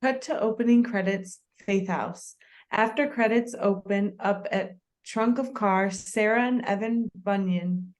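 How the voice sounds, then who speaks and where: A woman narrates the action lines of a screenplay at a table read. Her pitch is medium, and her delivery is plain scripted narration. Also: unhurried. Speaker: stage directions reader.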